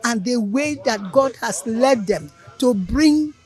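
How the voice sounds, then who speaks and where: An older Nigerian woman with a Nigerian English accent, speaking in a slow, earnest way. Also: a little high, expressive, a little mellow, faint music behind. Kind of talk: reflective speech.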